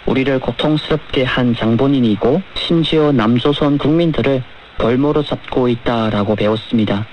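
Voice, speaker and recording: A man's voice: medium pitch, normal speed, a little mellow. Man on noisy shortwave radio. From North Korea. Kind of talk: measured radio talk and letter reading.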